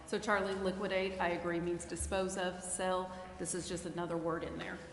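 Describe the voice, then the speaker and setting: A woman's voice: fairly low pitch, flat delivery, moderate pace. A woman speaking as a legal adviser, explaining a point to a council meeting. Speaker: city attorney advising council. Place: indoor council chamber. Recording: very echoey chamber with clear background noise.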